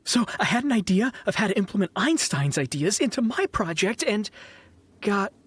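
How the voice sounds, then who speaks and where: Lively dreamy teenage inventor. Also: medium pitch, moderate pace, husky. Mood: fond, sheepish. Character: dreamy seventeen-year-old young inventor, kind big brother. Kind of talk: audio drama acting.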